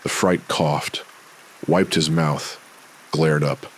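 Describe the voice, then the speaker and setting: Very deep-voiced man narrating dark noir. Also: level tone, slow, slight background noise, low-quality recording. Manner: brooding, dramatic. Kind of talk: scripted noir radio drama narration.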